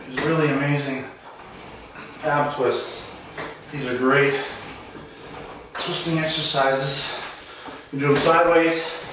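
A man in his forties talks through his workout in a small home gym, a little breathless as he moves. His voice sits on the high side for a man. The camera is across the room, so he sounds distant, with a strong echo and steady background noise. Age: 40s. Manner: talks while exercising, casual and a bit breathless. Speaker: fitness vlogger. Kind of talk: casual workout demonstration. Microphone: camera mic from across the room.

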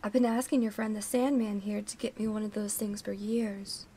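A woman playing an envious, flattering gangster's companion, chummy, in a comic dub. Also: mid-range voice, normal speed, breathy edge, natural voice.

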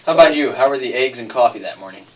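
A man's voice: mid-range voice, animated, very fast. A man asking questions in a casual style as an interviewer. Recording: distant mic, faint room noise.

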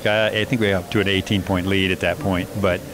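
Low, flat-voiced man coach. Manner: measured, hesitant with many restarts and fillers. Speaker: basketball coach. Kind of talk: postgame interview. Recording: noisy background.

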